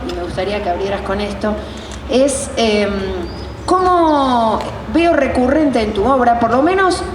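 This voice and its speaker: A woman with a Rioplatense Argentine accent hosting a live public interview, speaking haltingly as she searches for words. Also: on the high side. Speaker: interviewer and director of a community theatre group. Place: outdoors on a city sidewalk. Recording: noisy outdoor recording, echoey, far from mic.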